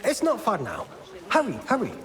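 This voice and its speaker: High-voiced, devious scholarly gent. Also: male voice, wildly swooping pitch, normal speed, crowd noise, noisy background. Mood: courteous, probing. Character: polite, smooth scholarly man with devious, cowardly streak. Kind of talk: video game voice acting.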